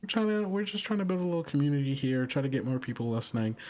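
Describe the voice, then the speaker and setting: A man's voice: a little high, animated, racing, mellow. Informal man hosting a tabletop podcast. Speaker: game master and podcast host. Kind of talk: casual podcast sign-off and chat.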